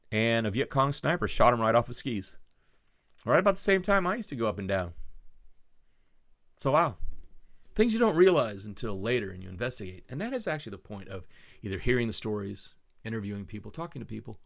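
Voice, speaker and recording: A man's voice: mid-range voice, gravelly, fairly bright tone, dry recording. A man in his sixties hosting his own podcast, telling casual stories in a rambling, digressive way with many restarts. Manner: rambling, digressive, self-correcting. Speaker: podcast host. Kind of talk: casual storytelling monologue.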